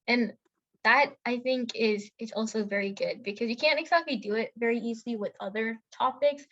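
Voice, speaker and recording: Young woman co-host from India, bright higher voice, rambling casual storyteller, lo-fi recording. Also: normal speed. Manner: chatty storytelling with many fillers and self-corrections. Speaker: podcast co-host. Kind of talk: casual chat.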